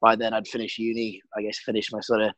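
A man's voice: lowish voice, monotone, quick pace, fairly bright tone, no room sound. Laid-back British man in his twenties. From Britain. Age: 20s. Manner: laughs often, swears casually. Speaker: podcast guest. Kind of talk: casual interview chat.

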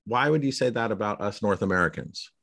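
A man hosting a podcast, asking questions with curious enthusiasm and a halting, filler-heavy way of talking. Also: lowish voice, animated, gravelly, a bit bright. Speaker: podcast host. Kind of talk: casual conversational interview.

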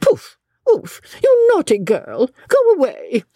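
A woman's voice: high voice, wildly swooping pitch, slow, warm tone, no echo, clean studio sound, pitched above the performer's own voice, exaggerated voice. A woman voicing a mirthful, bossy middle-aged lady from a novel, gasping and exclaiming as if about to break into laughter. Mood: playfully cross. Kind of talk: audiobook character voice.

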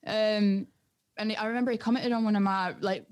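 A woman's voice: mid-range voice, steady tone, fairly quick, darkish tone. A young woman, chatting casually and informally as a guest. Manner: informal, giggly. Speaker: guest, music producer and DJ. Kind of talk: casual chat.

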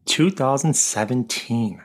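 A man tells stories on a podcast in an easygoing, chatty way. His voice sits at a middle pitch, and he laughs easily as he goes. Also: takes it slowly. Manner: relaxed storytelling, laughs easily. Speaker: grief coach and co-author guest. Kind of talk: casual podcast interview.

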